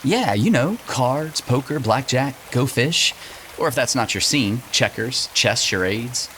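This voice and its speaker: A man's voice: mid-range voice, animated, a little slow, fairly bright tone. A man in an audio drama playing a flirtatious, wisecracking drifter with a casual, irreverent way of talking. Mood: coaxing, playful. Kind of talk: naturalistic audio drama acting. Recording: rain-like background noise.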